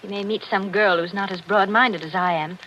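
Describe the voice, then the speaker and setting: An actress playing a hurt, bitter wealthy wife in a 1940s radio drama, speaking in a genteel, wistful way. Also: female voice, medium pitch, steady tone, a little fast, natural voice. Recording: muffled old radio broadcast with hiss.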